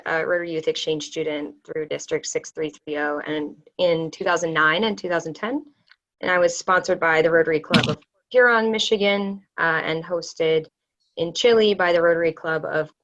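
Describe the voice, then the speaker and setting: A woman's voice: on the low side, moderate pace, a bit bright. A woman and former exchange student giving matter-of-fact answers over a video call. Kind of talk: panel interview answers. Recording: dull lo-fi call audio.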